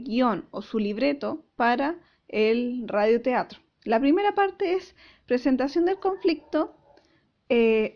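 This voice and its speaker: A woman school teacher giving a recorded class lesson, explaining carefully and didactically. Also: sits high. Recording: dull lo-fi audio.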